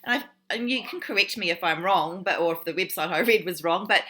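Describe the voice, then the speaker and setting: A woman's voice: lowish voice, a little fast, pushed and bright. A woman hosting an interview, asking long, hesitant, rambling questions. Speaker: interviewer host. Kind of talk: casual interview chat.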